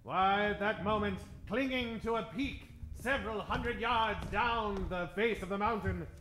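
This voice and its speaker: Bright, high-voiced radio adventure narrator, a man. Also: a little slow. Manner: dramatic, suspenseful, theatrical. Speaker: narrator and announcer. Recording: roomy stage sound, faint music behind.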